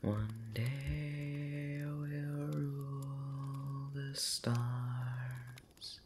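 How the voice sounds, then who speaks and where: Very slow, whispery man with creaky voice. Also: medium pitch, flat delivery, background noise. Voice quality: breathy, often whispered. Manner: hesitant, pensive, drawn-out pauses and murmured hums. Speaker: audio roleplay channel creator. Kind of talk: intimate late-night rambling, ASMR.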